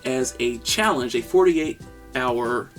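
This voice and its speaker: A man's voice: mid-range voice, expressive, takes it slowly, a bit bright, faint music behind. A man co-hosting a casual video game podcast, talking with enthusiasm and frequent laughs and jokey asides. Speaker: podcast co-host. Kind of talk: casual chat about video games.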